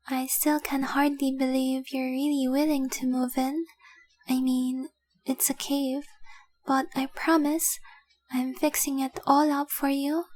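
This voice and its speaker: A woman playing a devoted young dragon-blooded fiancée in a romantic audio roleplay, speaking softly, earnestly and adoringly. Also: sits high, no echo, low-quality recording. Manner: soft, earnest, adoring, often dropping to breathy whispers.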